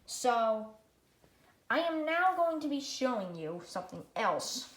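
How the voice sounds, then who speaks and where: A woman giving a casual, unscripted gadget demonstration in a rambling, halting way, often correcting herself. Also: fairly high pitch, big pitch swings. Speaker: product reviewer. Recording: echoey room, loud background noise.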